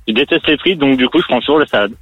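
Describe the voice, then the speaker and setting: A man's voice: mid-range voice, racing, breathy edge, no room sound, narrow, tinny audio, soft background music. A man calling in to a radio show, chatting casually and easily about his food habits. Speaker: radio caller. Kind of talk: casual chat.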